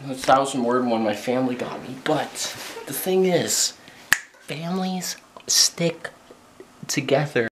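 A man's voice: medium pitch, measured pace, long pauses, recorded from a distance. A young man chatting casually in a goofy, rambling, mock-indignant way, as a vlogger does. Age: young adult. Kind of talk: casual joking vlog chat. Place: inside a moving car and outdoors. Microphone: camera mic, at a distance. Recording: handheld camera, loud car and outdoor noise.

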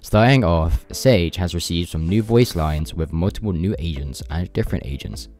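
A man's voice: sits low, normal speed, darkish tone, faint music behind. A young man hosting a gaming video, narrating update notes in an informal, loosely worded way. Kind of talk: casual patch-notes narration.

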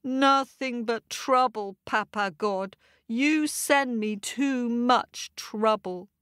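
A woman's voice: on the high side, takes it slowly, fairly bright tone, smooth, no echo, clean studio sound. A woman voicing a weary woman in a storybook tale who wails and grumbles about her troubles. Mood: lamenting. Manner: wailing, sighing complaints.

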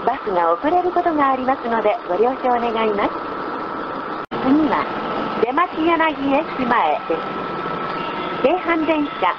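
Muffled, high-voiced female bus announcer, noisy. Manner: polite, formal. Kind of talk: scripted recorded announcement. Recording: muffled recording inside a noisy moving bus. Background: loud vehicle noise.

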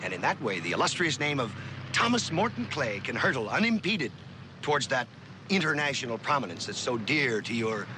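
A man's voice: medium pitch, sing-song, brisk, natural voice. A man playing a smug blackmailing spy in an old suspense drama, mocking and sardonic with theatrical emphasis. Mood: mocking, confident. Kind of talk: mid-century American TV suspense drama acting. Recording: noisy old TV soundtrack, outdoor engine noise.

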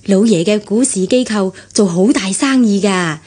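A woman's voice: mid-range voice, normal speed, a little mellow, lightly acted. An actress playing a chatty female servant gossiping about her mistress in a radio drama, with a Hong Kong Cantonese accent. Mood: gossipy. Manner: gossipy, explanatory. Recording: husky-sounding radio rebroadcast, faint music.

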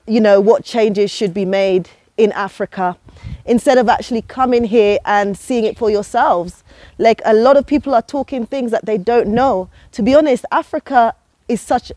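A woman's voice: on the high side, darkish tone, dry recording. A woman in her thirties, talking casually and persuasively to viewers while walking outdoors with a lapel mic. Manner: chatty, persuasive, addresses viewers as guys. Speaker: vlogger. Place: outdoors on a quiet suburban street. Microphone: clip-on lapel mic.

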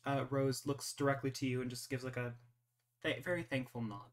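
A game master with a medium-pitched voice runs an online roleplay session. The narration is casual and improvised, and feels its way through each description. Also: fairly even intonation, fairly quick. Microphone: voice call.

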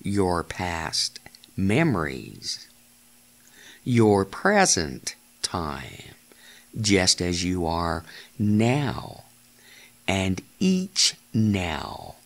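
A man leading a guided meditation in deliberate, halting phrases, giving gentle step-by-step instructions as a narrator of guided imagery. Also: sits low, gravelly.

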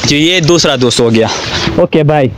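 A young man with a fairly high voice chats casually to his viewers. He records outdoors on a phone at arm's length, with heavy noise over everything. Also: moderate pace. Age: 20s. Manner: chatty, addresses viewers and prompts friends with questions. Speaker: vlogger. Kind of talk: casual travel vlog chat. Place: outdoors in a hilly valley.

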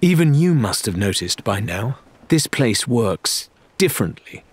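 A man's voice: medium pitch, big pitch swings, a little slow, slightly rough, dry recording. A man voicing a headstrong pirate woman in an audiobook, indignant and disdainful. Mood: insistent. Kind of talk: audiobook character dialogue.

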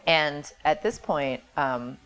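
A woman's voice: deep voice, slow pace, a bit bright. A woman in her forties explaining things conversationally as an interview guest, recorded indoors on a lapel mic. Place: small office indoors. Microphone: clip-on lapel mic.

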